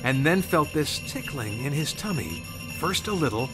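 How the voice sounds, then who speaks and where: A man's voice: a little high, very animated, normal speed. A man reading scripted storybook narration of a Christmas tale. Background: music.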